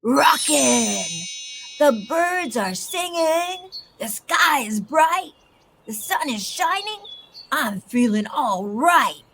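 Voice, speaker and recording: A woman's voice: high voice, big pitch swings, takes it slowly, fairly bright tone, exaggerated voice. Woman as an emphatic, big-feeling storybook alligator. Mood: elated.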